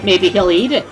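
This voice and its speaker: A woman voicing a bubbly, excitable, teasing teenage schoolgirl in an amateur visual novel reading. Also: lowish voice, heavily acted. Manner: playful, exclamatory, repeats words, laughs loudly.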